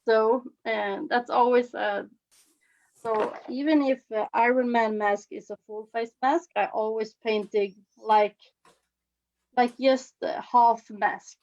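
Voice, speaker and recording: A woman from Sweden with a Swedish accent, giving an informal demonstration as a face painting instructor, with halting phrasing. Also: on the high side, fairly even intonation, darkish tone. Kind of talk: informal live demonstration with commentary. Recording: slightly dull, lo-fi webinar audio.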